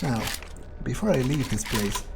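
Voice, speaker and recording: A man's voice: medium pitch, lively intonation, unhurried, gravelly, heavy background noise. A man playing a cocky, nonchalant vampire, muttering his thoughts aloud in character as game commentary. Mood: cocky, casual. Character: nonchalant, cocky male vampire, casually menacing. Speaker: gameplay streamer role-playing a character.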